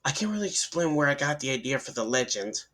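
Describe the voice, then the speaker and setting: A man voicing a male film director in a horror story, reflecting haltingly on an eerie inspiration as if interviewed. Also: medium pitch, big pitch swings, a bit bright. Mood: musing, uneasy. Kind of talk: quoted interview within horror narration.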